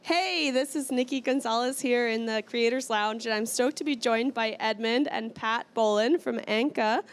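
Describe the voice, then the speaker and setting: Woman, interview host from Iceland, slightly high voice, enthusiastic, casual, informal. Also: moderate pace, hardly any pauses, clean tone. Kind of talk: casual trade-show interview. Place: interview lounge at a trade show.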